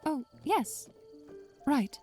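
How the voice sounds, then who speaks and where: Woman acting an eager, high-voiced young princess. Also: sing-song, extremely slow, mellow, soft background music, heavily acted. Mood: distracted, puzzled. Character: eager, curious young princess in a fantasy tale. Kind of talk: fan audio drama acting.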